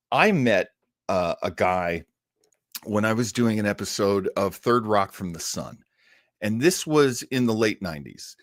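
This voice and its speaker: A man's voice: lowish voice, a bit bright, no echo. Rambling, amused man hosting a livestream, dry sound. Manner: rambling, halting, amused, chuckles between asides. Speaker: livestream show host. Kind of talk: casual livestream chat, storytelling.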